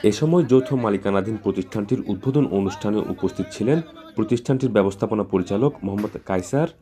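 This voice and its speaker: Low mellow male news narrator. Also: fast. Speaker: news reporter narrating a report.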